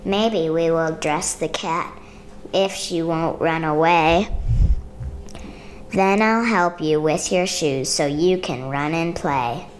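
A woman reading a children's picture book aloud in measured, rhythmic rhyme. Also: sits low, slight background noise. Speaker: storybook reader.

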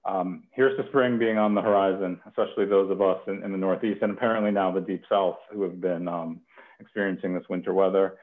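A man's voice: sits low, fairly even intonation, a little fast. A man in his thirties hosting a poetry reading from home over a headset on a video call. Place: home kitchen. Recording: muffled phone-like video call.

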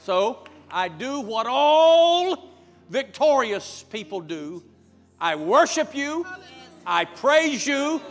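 A middle-aged male pastor preaching and praying fervently before his congregation, building to loud prayer. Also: very high-pitched, sing-song, takes it slowly. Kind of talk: sermon and prayer. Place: large church sanctuary with congregation. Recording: church hall with music behind.

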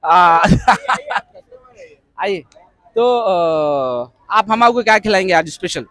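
Hyderabadi man in his thirties fronting a vlog, high-voiced and playful, handheld mic. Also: wildly swooping pitch, pushed and bright, no echo. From Hyderabad, India. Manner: jokey, teasing, quick to laugh. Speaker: vlogger host. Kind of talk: casual vlog chat. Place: crowded indoor expo hall and outdoor food stall.

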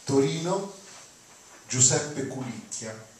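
Older male lecturer, medium pitch, informal introductions, distant and very roomy sound. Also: expressive, slow pace, darkish tone. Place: auditorium, seated on stage. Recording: echoey hall from far off, faint noise.

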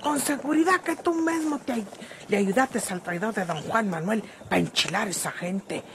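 An actress playing a fiery, suspicious, sharp-tongued country woman with a rural northern Mexican accent in an old radio serial. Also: medium pitch, moderate pace, noisy background, close to an everyday voice. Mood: accusing.

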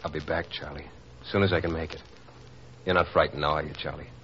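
An earnest young suitor in a 1940s radio play. He talks quickly in a low voice, on a dull recording with light noise. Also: little pitch movement, a bit bright. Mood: tender.

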